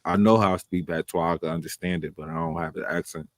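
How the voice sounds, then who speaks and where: A man's voice: deep voice, steady tone, fast talker, no room sound. A man talking casually and bluntly on a podcast, profane and repeating his points for emphasis. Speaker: podcast host. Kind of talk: casual chat.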